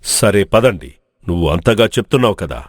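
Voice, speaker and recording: A man voicing a cautious, doubtful village elder in an animated moral story, with a weighty, questioning delivery. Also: on the low side, moderate pace, dry recording, clean studio sound.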